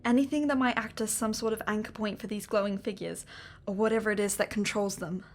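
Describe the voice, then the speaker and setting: A medium-pitched woman played by an actress is a supernatural investigator in an audio drama. She is calm and composed, cryptic in what she gives away, guarded yet quietly protective. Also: fast, lightly acted. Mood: focused.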